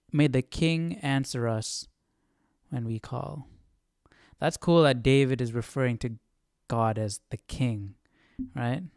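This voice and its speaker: A man's voice: mid-range voice, gravelly, a little mellow, no echo, studio quality. A man hosting a casual Bible study stream, thinking aloud, asking questions and reading verses. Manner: questioning, musing. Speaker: Bible study livestream host.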